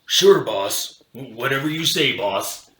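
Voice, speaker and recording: A tough bulldog doorman from a storybook , acted out by a man, speaks in blunt, slow, loud lines. He is gruff yet obedient to his boss. The room rings around him. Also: medium pitch, expressive, echoey room, poor audio. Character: mean-looking male bulldog bouncer, gruff but obedient. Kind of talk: storybook character voice.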